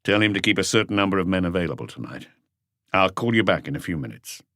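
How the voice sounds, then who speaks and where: Audiobook detective, read by a man: seasoned, composed, low voice, matter-of-fact, close dry sound. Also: animated, fast talker, a bit bright, dry recording. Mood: measured, serious. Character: composed, seasoned male police detective chief. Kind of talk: audiobook character voice.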